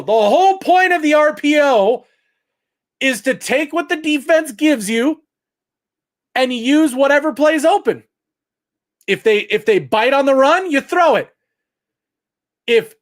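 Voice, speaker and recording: Fired-up guy ranting about a football game, loud projecting voice, kinda high. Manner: loud projecting voice, heated and exasperated; reads stats aloud to chat. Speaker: sports talk host. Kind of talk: post-game livestream commentary.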